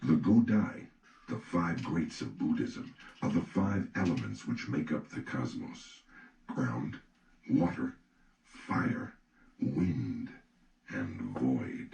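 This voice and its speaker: Male narrator for a solemn audiobook reading: extremely low voice, dark tone, measured scripted delivery. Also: lively intonation, creaky. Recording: roomy, distant mic with faint noise.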